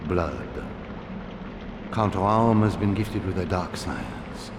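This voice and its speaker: An actor playing a solemn, haunted villager in a video game cutscene, speaking gravely and formally. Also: male voice, deep voice, sing-song, heavily acted. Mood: grave, mournful. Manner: grave, deliberate, formal phrasing. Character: solemn, haunted male villager living in hiding. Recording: echoey hall, long reverb, loud noise.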